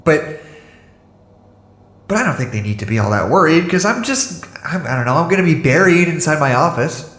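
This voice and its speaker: An anxious prisoner in an echoey room. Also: male voice, on the high side, big pitch swings, brisk, fairly bright tone. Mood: uneasy, resigned. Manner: hesitant, self-interrupting. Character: anxious, halting man held under guard. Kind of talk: audio drama monologue.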